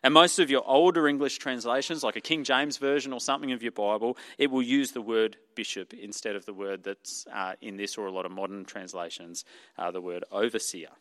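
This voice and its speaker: A man's voice: medium pitch, fairly even intonation, brisk, a bit bright, no room sound, big-room sound. A man with an Australian accent preaching a sermon as pastor, explaining earnestly with many fillers and restarts.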